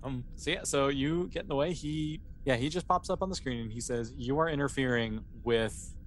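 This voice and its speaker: A man's voice: a little high, fairly quick, a little hiss or hum. A man running a tabletop role-playing game, narrating off the cuff in a conversational, rambling way. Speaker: game master.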